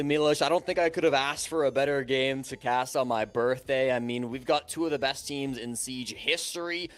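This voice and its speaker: A man with a Canadian accent calls an esports match in an upbeat, easygoing way. His voice sits at an ordinary middle pitch for a man, and he mixes match analysis with friendly banter. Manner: upbeat, good-humored analysis. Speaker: esports caster. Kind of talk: live esports commentary.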